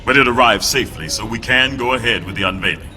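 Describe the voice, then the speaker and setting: Forceful, authoritative general. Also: male voice, medium pitch, fast talker. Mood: assured. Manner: official, authoritative. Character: assured, forceful military general giving TV interview. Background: music.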